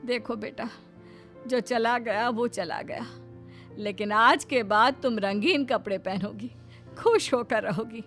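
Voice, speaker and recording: Woman acting high-voiced dramatic mother-in-law. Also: slow, fairly bright tone. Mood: remorseful, earnest. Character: older mother-in-law, stern then remorseful and caring. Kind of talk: dramatic Hindi cartoon moral-story voice acting. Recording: background music under the voice.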